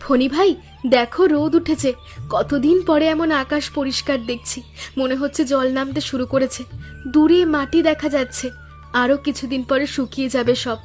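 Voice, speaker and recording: An actress playing a kind, hopeful woodcutter's wife in a cartoon, speaking in a gentle, encouraging, motherly way. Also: high voice, fairly even intonation, moderate pace, soft background music, lightly acted. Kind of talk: Bengali cartoon story dialogue.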